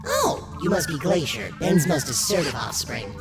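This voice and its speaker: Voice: medium pitch, big pitch swings, moderate pace, lightly acted. A performer voicing a polite, nervous android courier in a cartoon, with formal, explanatory phrasing. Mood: polite, awkward. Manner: formal, explanatory, stammering when flustered. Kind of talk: scripted narration and animated character dialogue. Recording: roomy sound with loud music behind.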